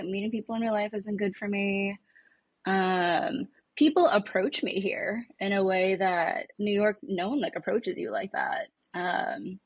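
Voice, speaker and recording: A woman's voice: mid-range voice, husky, dry recording. A woman chatting casually as a guest on a podcast. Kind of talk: casual chat.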